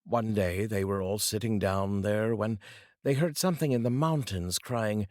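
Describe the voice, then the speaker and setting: A man's voice: on the low side, moderate pace, darkish tone, no room sound, studio quality. A man narrating a folk tale as a storyteller, reading aloud expressively.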